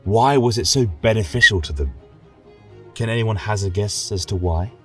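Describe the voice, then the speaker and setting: A man's voice: on the low side, moderate pace, soft background music, close to an everyday voice. A man playing a genial, rambling lecturer at a college of magic, speaking in a flowery, coaxing way in a scripted fantasy audio drama. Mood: encouraging. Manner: flowery, gently coaxing, chuckling scholar.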